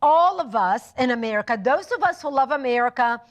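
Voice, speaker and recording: A woman's voice: sits high, animated, brisk, highs cut off. A woman from Lebanon, speaking as a guest in a TV studio interview, with passionate, emphatic lists. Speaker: guest author.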